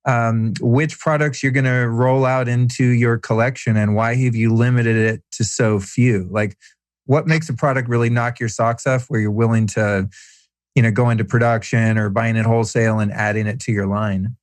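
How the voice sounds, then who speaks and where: Brief: male podcast host, mid pitch, chatty and curious, dry close sound. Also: steady tone, moderate pace, no room sound. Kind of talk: casual podcast interview.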